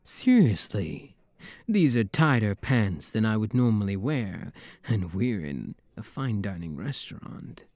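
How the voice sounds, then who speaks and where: A man plays a suave demon prince in a romantic ASMR drama, speaking low and unhurried in a close, dry sound. His delivery is courtly and teasing, swinging widely in pitch as he flirts with mock menace. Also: sing-song, darkish tone, dry recording. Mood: indignant, teasing.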